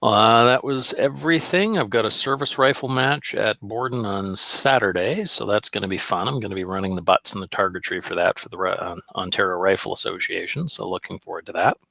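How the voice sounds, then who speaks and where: Gravelly Canadian man, wry podcast banter. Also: fairly low pitch, a little fast, few pauses, pushed and bright, no room sound. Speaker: podcast co-host. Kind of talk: casual banter about shooting.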